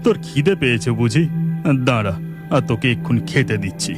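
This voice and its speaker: A kindly village cow keeper, acted by a man in a children's cartoon. His voice is mid-pitched and homely, with clear music under it. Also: expressive, a little fast, close to an everyday voice. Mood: puzzled, caring.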